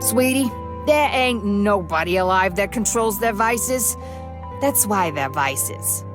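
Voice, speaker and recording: A woman's voice: medium pitch, expressive, slow pace. An actress playing a tough, world-weary, motherly older bartender in a visual novel, folksy and no-nonsense. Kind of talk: visual novel character acting. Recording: music behind the voice.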